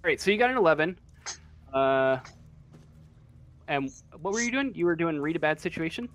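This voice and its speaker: A man narrating as game master of a tabletop game, improvising in a hesitant, halting way. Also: on the high side, animated, a bit bright, highs cut off.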